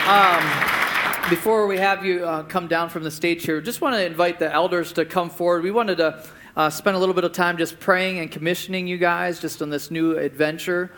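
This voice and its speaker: A man's voice: high voice, steady tone, normal speed, over a noisy crowd, very noisy. A man preaching as pastor of a church, closing his sermon with an earnest prayer and then speaking to the congregation from the stage.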